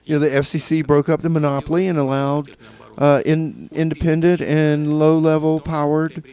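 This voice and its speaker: A man hosting a radio show with casual, rambling DJ patter in a halting, tongue-in-cheek, mock-official manner. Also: fairly high pitch, flat delivery, mellow, smooth.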